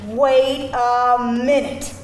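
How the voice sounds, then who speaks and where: A woman's voice: sits high, little pitch movement, drawn-out words. An actress playing a cold, haughty leader of a gang of school mothers, read dramatically at a live table read. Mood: alarmed indignant. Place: live event room with audience. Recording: echoey room, faint noise.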